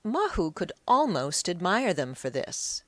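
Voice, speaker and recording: A woman's voice: lowish voice, sing-song, a little slow, fairly bright tone, dry recording. A woman narrating an audiobook in a dramatic, scripted storytelling style.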